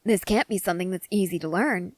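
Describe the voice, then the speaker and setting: A woman playing an eager, excitable scientist soldier fascinated by creatures, speaking earnestly and emphatically to the listener in an ASMR roleplay. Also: lowish voice, fast, no echo, close to an everyday voice. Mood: earnest reassurance.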